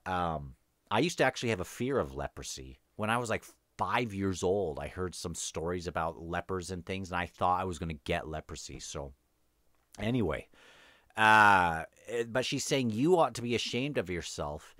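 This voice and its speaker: A man's voice: deep voice, expressive, normal speed, a bit bright, no room sound. A man hosting an unscripted read-along book discussion, thinking aloud in a hesitant, rambling way.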